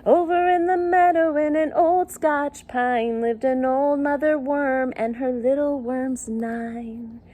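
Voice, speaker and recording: A woman's voice: sits high, fairly even intonation, slow, hardly any pauses, dark tone. A woman reading a picture book to young children in a sing-song style, with simple child-directed phrasing and little questions. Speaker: children's circle time teacher and story reader.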